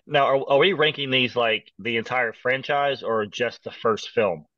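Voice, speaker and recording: A man's voice: mid-range voice, measured pace, bright, forceful tone, no echo, distant mic, dull audio. A man co-hosting a horror podcast with a cold, slightly nasal, who restarts and repeats words as he thinks. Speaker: podcast co-host. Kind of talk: casual podcast chat.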